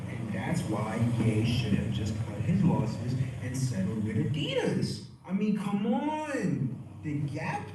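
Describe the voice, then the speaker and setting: An animated, slightly high-voiced opinionated guy, acted by a man in an audio play. He stretches words slowly and scornfully, heard in a very echoey recording. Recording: echoey, distant, noisy livestream playback.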